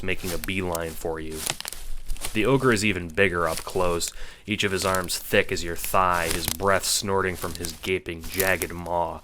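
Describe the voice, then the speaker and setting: A man's voice: sits low, noisy background. A man narrating a scripted story in the second person, in the style of an audiobook.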